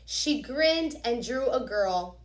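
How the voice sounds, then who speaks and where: A woman reading a picture book aloud as a teacher, expressively, pausing to explain things directly to young children. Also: a little high. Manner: expressive, instructive, addresses young listeners directly. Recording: echoey, reverberant room.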